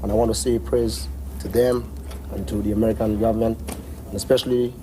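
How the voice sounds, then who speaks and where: A man's voice: fairly low pitch, level tone, fairly quick. A man speaking earnestly in an interview testimonial on an old film recording with some background noise. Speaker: military trainee leader giving testimonial.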